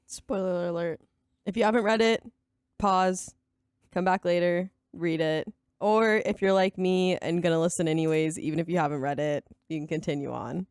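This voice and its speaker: A woman's voice: fairly low pitch, fairly even intonation, normal speed, darkish tone, clean tone, no room sound, clean studio sound. A woman chatting casually as co-host of a book podcast. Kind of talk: casual chat.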